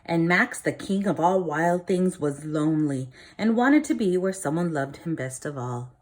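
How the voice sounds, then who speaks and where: A woman reading a picture book aloud, expressive, dropping low and soft for dramatic lines. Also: normal speed. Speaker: storybook reader.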